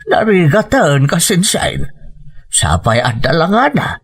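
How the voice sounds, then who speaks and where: A man playing a meddling, suspicious uncle with drawn-out interjections in an audio drama. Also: a little high, wildly swooping pitch, slightly rough, heavily acted. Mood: insinuating, warning. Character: meddling, suspicious uncle, a male older relative. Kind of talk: Ilocano audio drama acting.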